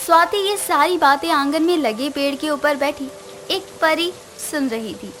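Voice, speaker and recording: A woman's voice: high voice, measured pace, fairly bright tone, soft background music. A woman narrator reading a scripted moral bedtime story.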